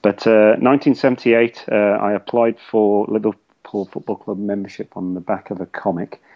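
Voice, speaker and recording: A man's voice: lowish voice, flat delivery, normal speed, lo-fi sound. A middle-aged man from the English Midlands with a Midlands accent, chatting casually on a podcast and rambling with lots of fillers. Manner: rambling, frequent fillers and restarts. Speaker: podcast guest.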